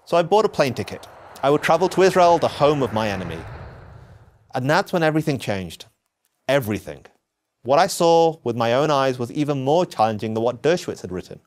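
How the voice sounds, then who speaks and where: A man from England, giving an earnest, measured scripted narration of his own story. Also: medium pitch, expressive. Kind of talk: scripted first-person narration.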